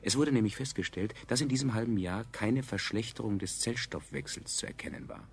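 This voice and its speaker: A man acting as the measured, dryly ironic narrator of a 1970s German science fiction radio play. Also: medium pitch, gravelly, breathy edge. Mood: detached, ironic. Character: male narrator recounting a dystopian future, detached and ironic. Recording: 1970s radio recording, faint noise.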